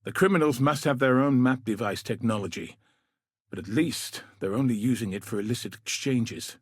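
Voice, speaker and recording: An actor playing a gruff, dutiful military commander in a video game, with a husky, rough-edged voice and a grave, dry, clipped delivery. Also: male voice, mid-range voice, moderate pace, dry recording, lightly acted. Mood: wary, dry. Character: gruff, dutiful male military commander sending a warrior into danger. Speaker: video game character, quest giver. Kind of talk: scripted video game voice acting.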